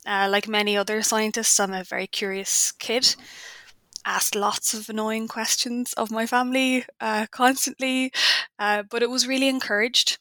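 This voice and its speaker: A woman's voice: fairly high pitch, flat delivery, normal speed, pushed and bright, no room sound. A woman with an Irish accent giving candid, reflective, conversational answers as a science author being interviewed. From Ireland.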